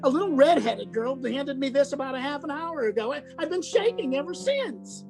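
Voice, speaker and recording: A man playing a fussy, excitable, easily flustered sidekick in a radio play, with a theatrical, exclaiming manner. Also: very high-pitched, normal speed, music in the background. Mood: shaken.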